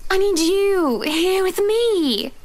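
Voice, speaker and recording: Possessive young wife, high voice, doting, pleading, haughty, game character. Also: female voice, animated, slow, darkish tone, full character voice. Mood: clingy pleading. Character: clingy, possessive young wife of a wealthy man. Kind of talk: visual novel game voice acting.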